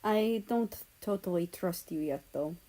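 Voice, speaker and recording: Woman reading as guarded, slow-spoken young loner man. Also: lowish voice, animated, mellow. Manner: hesitant, blunt.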